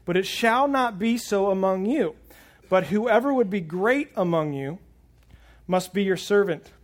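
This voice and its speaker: A man's voice: high-pitched, expressive, measured pace. A man preaching a sermon on a lapel mic to a congregation. He is earnest and often repeats words as he starts a thought. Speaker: pastor. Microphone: wireless lapel mic pack.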